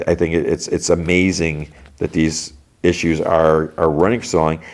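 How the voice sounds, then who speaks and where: A man's voice: deep voice, a little mellow. A stuffed-up man hosting a podcast, rambling in casual chat about comics, with many restarts and trailing thoughts. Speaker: podcast host.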